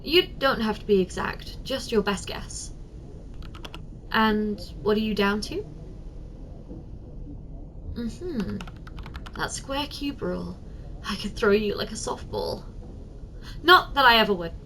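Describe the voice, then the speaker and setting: A woman playing a giant, doting, teasing office secretary in an ASMR roleplay, speaking softly and coaxingly straight to the listener. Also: mid-range voice, lively intonation, slow, pauses often, slight background noise, poor audio. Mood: playful, curious. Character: giant, doting, teasing female office secretary, tender toward tiny boss.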